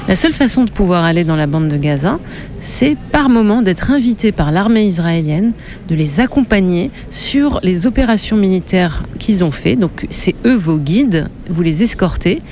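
A woman journalist answering interview questions in a measured, thoughtful way, often pausing to hesitate. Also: lowish voice, darkish tone.

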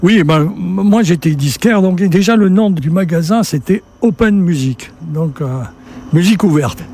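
A man's voice: sits high, moderate pace, dark tone, husky. An older man from southern France, a festival director telling his story conversationally in an interview, rambling and repeating words as he goes. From Sète, southern France. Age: 60s or older.

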